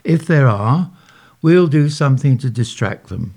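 A man voicing a thin elderly male train passenger who speaks low and hushed. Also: expressive, unhurried. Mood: urgent. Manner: low, murmuring.

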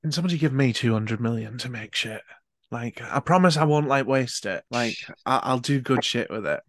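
A man's voice: medium pitch, moderate pace, a bit bright, no echo. Male podcast host, loose and sweary, close dry mic. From Newcastle. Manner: chatty, sweary, reads game stories aloud. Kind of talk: casual podcast chat.

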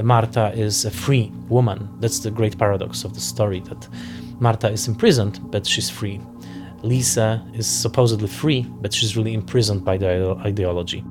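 A man gives unscripted analytical commentary in the manner of an interviewed film critic. He is deliberate and emphatic, pausing to find the exact word, at a middling pitch. Faint music plays behind him.

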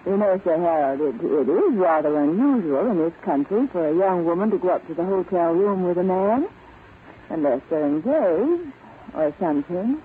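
A woman's voice: lowish voice, lively intonation, normal speed, narrow, tinny audio, close to an everyday voice. An actress playing an earnest, anxious young woman working as an amateur spy in an old-time radio drama, polite in manner. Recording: scratchy, band-limited old radio recording.

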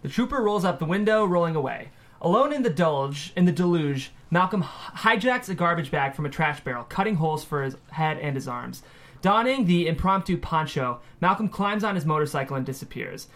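A man narrates a screenplay at a table read. His voice is fairly high for a man, and he delivers scene headings and action lines as scripted narration. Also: a bit bright. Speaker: stage-direction reader.

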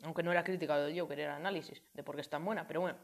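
A woman's voice: low-pitched, fairly even intonation, racing. A woman chatting through a casual film review, addressing viewers with many fillers and restarts.

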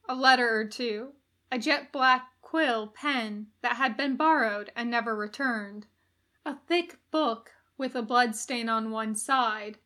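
Bright, higher-pitched woman reading a story. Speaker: audiobook reader. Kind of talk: expressive scripted narration of fan fiction.

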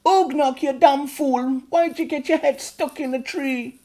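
A loud goblin mother, as a man puts her on in a storybook. She scolds in high, shrill shouts, far above a man's speaking voice. The voice sounds forced and strained. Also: fairly even intonation, unhurried. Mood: scolding.

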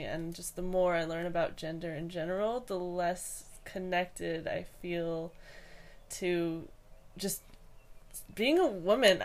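A young woman from Hawaii, a podcast guest answering interview questions in a hesitant, thoughtful way with many pauses and restarts. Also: fairly low pitch, a little mellow.

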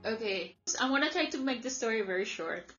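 Guest: Colombian-born woman, 30s, Spanish native, chatty and rambling, higher pitch, muffled lo-fi audio. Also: lively intonation, fast talker, a bit bright, soft background music. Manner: chatty, many "like" fillers. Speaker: guest, former volunteer. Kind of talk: casual interview storytelling.

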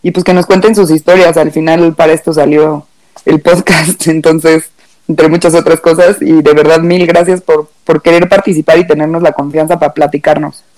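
A woman's voice: low-pitched, flat delivery, normal speed, darkish tone, rough recording. Casual Mexican woman hosting a podcast. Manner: informal, slangy. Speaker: podcast host. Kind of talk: casual chat.

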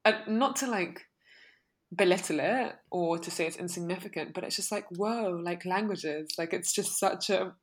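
A woman's voice: on the low side, moderate pace. A young woman co-hosting a podcast, talking reflectively in a casual way with many fillers. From United Kingdom. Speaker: podcast co-host.

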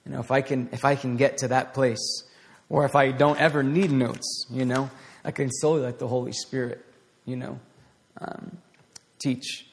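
A man's voice: medium pitch, steady tone, moderate pace, vocal fry. Man preaching casually in a reverberant hall. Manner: conversational, rambling with restarts and fillers. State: voice getting raspy. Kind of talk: informal sermon.

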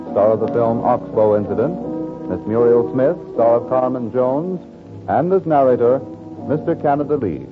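Male announcer, mid-range, formal 1940s radio credit read, tinny sound with music clearly behind. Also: mid-range voice. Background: loud music.

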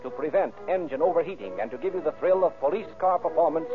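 A man's voice: fairly high pitch, animated, fast, soft background music. A man announcing and narrating in formal, theatrical, emphatic phrasing, in 1930s radio style. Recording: tinny old radio recording.

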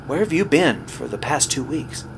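A man's voice: fairly high pitch, animated, moderate pace, vocal fry, background noise. A man playing an earnest, stubborn young rookie radio DJ in an audio horror drama, sometimes hesitant and pleading. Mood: wary, defiant. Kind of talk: dramatic audio horror acting, naturalistic dialogue.

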